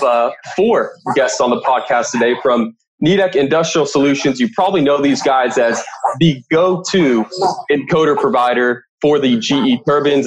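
A man's voice: mid-range voice, slight background noise, poor audio. Male podcast host, conversational interviewer. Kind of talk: interview, prepared introductions.

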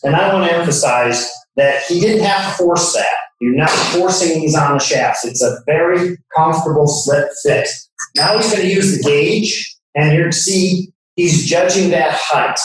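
A man's voice: a little high, a little slow. A man giving a technical demonstration as a product expert, explaining things step by step. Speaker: product expert from an encoder manufacturer. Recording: very echoey room.

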